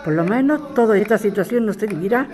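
A woman's voice: medium pitch, expressive, brisk, lightly acted. A woman playing a forgiving, reflective neighbor in an audio drama.

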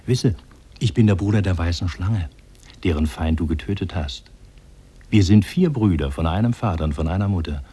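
An actor playing a benevolent demon in human form, speaking solemnly and formally in a 1950s German radio drama. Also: male voice, low-pitched, moderate pace, dark tone, breathy edge. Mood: solemn, reassuring. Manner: solemn, formal, archaic diction.

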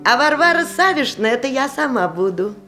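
An actress playing a genteel provincial widow in a radio play, narrating in a chatty, ornate, old-fashioned way. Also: female voice, high-pitched, sing-song, a little fast, sharp tone, soft background music, heavily acted. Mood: hospitable, chatty. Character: genteel provincial widow hostess of nineteenth-century Russia.

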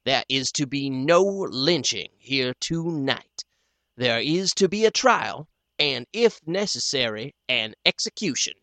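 A stiff, self-important chairman, as a male reader puts him on, laying out his speech in measured, formal sentences. The sound is dry and close. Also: mid-range voice, expressive, pushed and bright, dry recording. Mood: stern.

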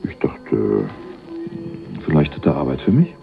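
An actor playing a cocky, laid-back small-time crook in a radio crime drama, talking in a casual, slangy, sly way. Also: male voice, extremely low pitch, huge pitch swings, a little slow, creaky, phone-quality sound, faint music behind, exaggerated voice. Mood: casual, evasive. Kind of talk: 1980s German radio crime drama acting.

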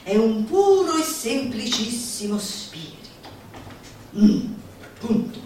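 A woman giving a theatrical recitation, declamatory and playful, with dramatic pauses and asides to her listeners. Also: fairly high pitch, sing-song, warm tone, a little hiss or hum. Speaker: solo stage performer. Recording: very echoey live hall, distant mic, music behind.

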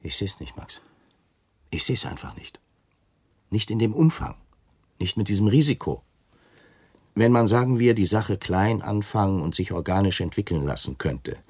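A man's voice: on the low side, lively intonation, moderate pace, long pauses, a little mellow, breathy edge, no echo. An actor playing a stiff, self-important, hesitant male camp commandant in a German radio play. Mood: wary, bargaining. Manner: solemn, pompous, hesitant.

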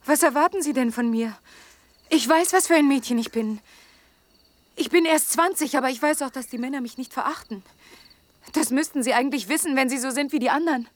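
A woman's voice: sits high, fairly quick, dry recording, natural voice. An actress playing a lonely young woman of twenty in an intimate German radio drama, hurt and pleading yet proud, often dropping toward a whisper. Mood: pleading, agitated. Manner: urgent, imploring, emotionally open.

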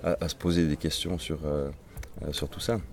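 Deep, dark-toned man, Swedish native speaker, novelist guest, thoughtful and halting. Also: fairly even intonation, slight background noise. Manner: reflective, halting, many restarts. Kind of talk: interview answers.

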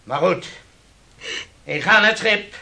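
Haughty male shipowner, radio drama; scolding, highish forceful voice with a gravelly edge. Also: lively intonation, slow pace, heavily acted. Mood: stern, offended. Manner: lecturing, indignant, scolding. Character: haughty, hard-nosed shipowner, a man of authority. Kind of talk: Dutch radio play acting.

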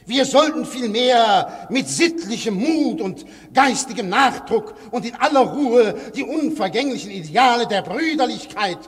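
An evasive, self-righteous anarchist addressing comrades in a radio play. His high voice swings widely in declamation and falters under pressure. It rings in a long hall reverb. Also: expressive, slightly rough, heavily acted. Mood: earnest oratory. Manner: declamatory, halting when cornered. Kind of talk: German radio play acting.

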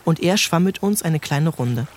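Calm male audiobook narrator. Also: on the high side, slight background noise. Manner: calm, measured storytelling.

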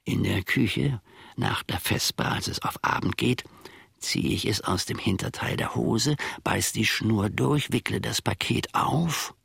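A male actor in a German radio play, playing a sly, grumbling old manservant with a gravelly, husky voice and a wry, conspiratorial, self-satisfied way of telling things. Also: fairly low pitch, a little slow, fairly bright tone, dry recording, clean studio sound, heavily acted. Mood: sly, confiding.

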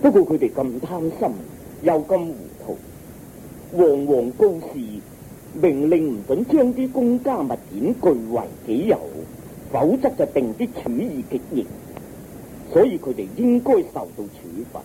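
A man's voice: a little high, big pitch swings, measured pace, gravelly, warm tone, slight background noise, full character voice, poor audio. An actor playing a devout, stern king with a stately, emphatic delivery in Hong Kong Cantonese radio drama. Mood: stern, indignant. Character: devout, stern king, earnest and easily indignant.

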